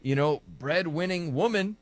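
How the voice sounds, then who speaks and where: A man's voice: on the high side, moderate pace, no room sound. A man co-hosting a casual podcast, teasing his friends and playfully indignant when they derail his point. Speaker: podcast co-host. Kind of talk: casual banter.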